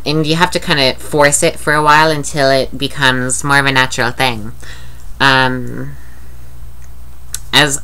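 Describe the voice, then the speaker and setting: A woman's voice: rumbling low, bright, forceful tone, smooth. A woman with a nasal voice, talking in a rambling, candid video diary and swearing casually. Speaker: vlogger.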